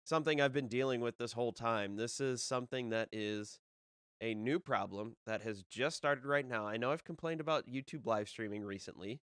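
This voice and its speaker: A man's voice: medium pitch, normal speed, fairly bright tone, clear, resonant tone, dry recording, clean studio sound. Slightly nasal man hosting a show. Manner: rambling, with many fillers and restarts. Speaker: disc golf podcast host. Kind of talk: casual solo live-stream talk.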